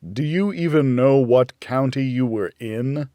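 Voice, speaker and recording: A man's voice: medium pitch, unhurried, warm tone, no echo, close to an everyday voice. A man voicing a blunt, needling, worried older friend in a noir audiobook. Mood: exasperated.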